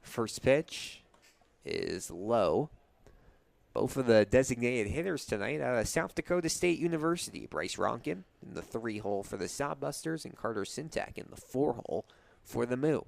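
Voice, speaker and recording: A man's voice: mid-range voice, animated, normal speed, gravelly, no room sound. A young man doing live radio play-by-play commentary of a baseball game as the announcer. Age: young adult.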